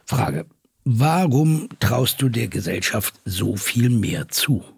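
An actor playing a probing podcast host in an audio drama, speaking in measured, deliberate phrases with a husky, creaky voice. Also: male voice, mid-range voice, very animated, no echo, studio quality, full character voice. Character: probing, self-aware male podcast host.

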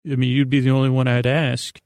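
A man hosting a sleep podcast with a rambling, improvised monologue, halting and circling back on himself in an unhurried, lulling way. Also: medium pitch, flat delivery, darkish tone, no echo, clean studio sound. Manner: halting, meandering, self-interrupting, with trailing repetitions. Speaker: podcast host.

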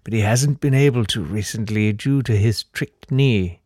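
A camp, ghostly old count from a comic vampire story, acted out by a man. He speaks in a slow, drawn-out, courteous way, genteel and languid, in a close, dry sound. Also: fairly low pitch, warm tone, no echo, natural voice.

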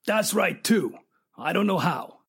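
A man's voice: sits high, expressive, a little slow, gravelly, a bit bright, breathy edge, heavily acted. A man playing a defensive, menacing private detective in an old-time radio crime drama. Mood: uneasy, explaining.

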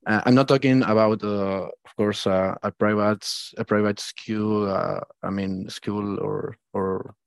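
A man's voice: fairly low pitch, steady tone, unhurried, creaky, few pauses, smooth, no echo. A man with an Argentinian Spanish accent answering interview questions hesitantly, with many fillers. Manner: hesitant, lots of "uh, I mean", laughs often. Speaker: guest, metal vocalist.

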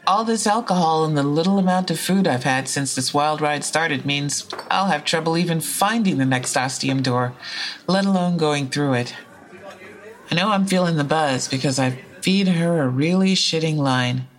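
A woman's voice: low-pitched, normal speed, natural voice. A woman playing a frank, foul-mouthed time traveler in an audio drama, speaking and narrating in a casual, wry way. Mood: tipsy, candid. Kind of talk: audio drama dialogue and first-person narration.

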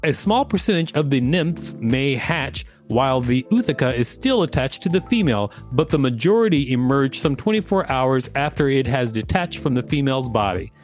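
A man's voice: medium pitch, very animated, normal speed, few pauses. Man co-hosting, music behind. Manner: playful, joking. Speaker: podcast co-host reading encyclopedia articles. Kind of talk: read-aloud narration with casual banter.

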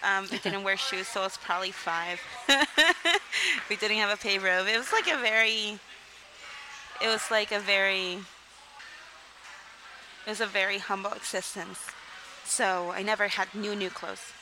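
A woman's voice: medium pitch, steady tone, normal speed, pauses often, background noise. Candid young woman from Mexico. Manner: candid, rambling, frequent "like" and "um", laughs between sentences. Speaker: guest. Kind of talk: casual interview storytelling.

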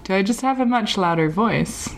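A woman's voice: mid-range voice, lively intonation, moderate pace, a little hiss or hum. A young British woman co-hosting a podcast, telling a story in a casual, rambling way with many ums and likes. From Britain. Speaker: podcast co-host. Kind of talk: casual chat.

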